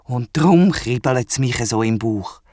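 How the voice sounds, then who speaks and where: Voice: medium pitch, takes it slowly, no room sound, studio quality. A storyteller voicing an eager, naive, forgetful young male platypus with a Swiss German accent in a children's audio story. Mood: naive wonder. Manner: excitable, naive, questioning.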